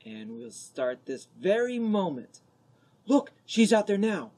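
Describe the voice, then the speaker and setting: A man acting an eager, lonely teenage boy in an amateur radio drama, boyish and husky. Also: sits high, big pitch swings, takes it slowly, darkish tone, exaggerated voice.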